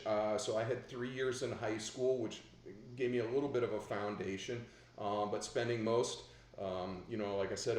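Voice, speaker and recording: A man's voice: medium pitch, a little mellow. Man hosting, echoey room. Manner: rambling, restarts questions often. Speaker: host. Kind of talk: video call interview.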